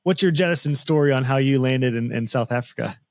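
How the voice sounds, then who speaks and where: A man's voice: medium pitch, dry recording. A man in his forties hosting a podcast, chatting curiously with a guest over a studio mic from a home studio. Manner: curious, chatty, quick asides. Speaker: podcast host. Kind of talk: casual interview chat. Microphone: studio mic on boom arm.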